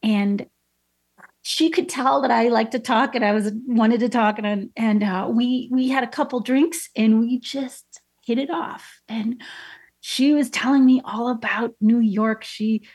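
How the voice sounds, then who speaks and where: Woman in her 30s, kinda high breathy voice, rambly chatty storyteller. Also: steady tone, unhurried, a little mellow. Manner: chatty storytelling with many fillers and restarts. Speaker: painter guest. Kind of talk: casual interview chat.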